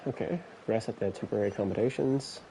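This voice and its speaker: A man streaming a game, thinking out loud in a rambling way full of "like" and "you know". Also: fairly low pitch, fast talker, background noise. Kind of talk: casual let's-play commentary.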